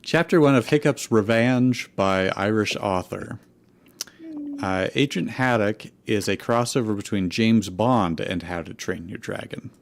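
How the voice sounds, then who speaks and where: A man's voice: fairly low pitch, unhurried, vocal fry. A man co-hosting a fanfiction comedy show, mixing casual chat with reading aloud.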